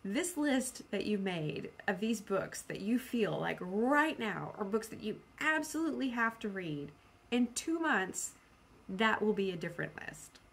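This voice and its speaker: A woman vlogger with an average-pitched voice talks in a loose, conversational way. She circles back and repeats phrases to stress them. She quotes her own thoughts with some animation and is self-deprecating about her habits. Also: sing-song, a little slow, gravelly, breathy edge. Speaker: book vlogger. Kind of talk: casual, rambling video chat about personal plans.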